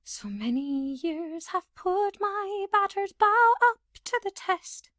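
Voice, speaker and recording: A woman sings a mournful ballad, like a lullaby. Her voice is extremely high and the phrases are drawn out very slowly. The recording is dry. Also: a bit bright, dry recording. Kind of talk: slow mournful sung ballad.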